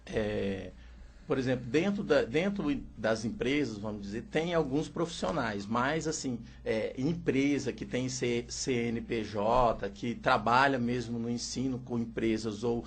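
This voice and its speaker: A man's voice: medium pitch, unhurried. A man, a teacher and interpreter, explaining steadily in an interview and often adding "né". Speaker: sign language teacher and interpreter. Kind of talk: radio interview answers.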